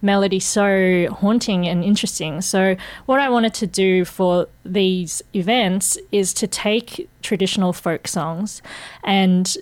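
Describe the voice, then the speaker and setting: A woman's voice: medium pitch, a little slow, no room sound. A woman, a musician interviewed on radio, speaking thoughtfully with hesitant fillers. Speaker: artist, musician, guest.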